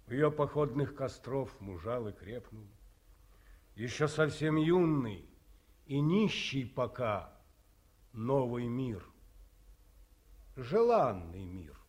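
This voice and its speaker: A grieving war colonel, slow and solemn. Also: male voice, mid-range voice, a little mellow. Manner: slow, measured, solemn speech. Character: grieving war colonel, weary and dignified, man. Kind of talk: 1950s radio drama acting.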